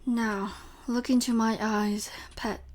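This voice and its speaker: A woman playing a seductive, possessive vampire professor in an intimate ASMR audio roleplay, breathy and often whispering, teasing and softly commanding. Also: a little high, fairly even intonation, takes it slowly. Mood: commanding, hypnotic.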